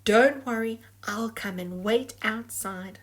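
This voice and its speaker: Lady doing a helpful little fish pal in a kids story, reassuring and upbeat, highish voice. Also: slow. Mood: encouraging, confident. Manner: encouraging, reassuring.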